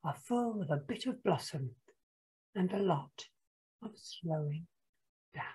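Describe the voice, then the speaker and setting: A woman voicing a gentle, polite little girl in a storybook, weary of being hurried. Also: fairly high pitch, voice pushed up, rough recording. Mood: dreamy, content.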